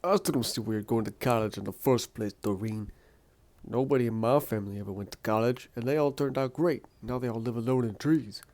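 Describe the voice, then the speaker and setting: A man's voice: mid-range voice, fairly quick, darkish tone, lightly acted. Man acting as sassy little female squirrel, comic sidekick, skeptical, nagging, indignant, comic dub.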